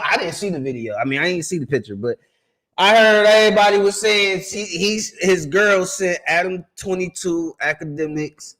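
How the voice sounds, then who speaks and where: Bright-voiced slangy man reacting. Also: high voice, poor audio. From South Carolina, USA. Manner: slangy, loose, quick to laugh. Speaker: reaction video host. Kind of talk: casual reaction commentary.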